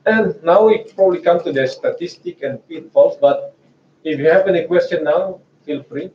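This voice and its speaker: An Indonesian-accented man in his forties lectures at a research seminar, chatty and prone to restarting his sentences. His voice sits a little high for a man. He is picked up from a distance in an echoey room, and the sound is muffled. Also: normal speed, a little mellow. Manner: chatty, hesitant restarts, frequent laughs.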